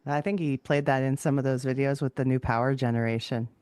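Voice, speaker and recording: A woman's voice: a bass voice, steady tone, quick pace, a little mellow, clean tone, dry recording. Woman, Canadian accent, podcast host, casual interviewing, dry recording without room sound.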